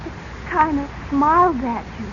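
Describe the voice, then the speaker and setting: An actress playing a pert, excitable teenage daughter in 1940s radio drama style, on a dull recording. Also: female voice, high voice, normal speed, background noise, lightly acted. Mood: curious, uneasy.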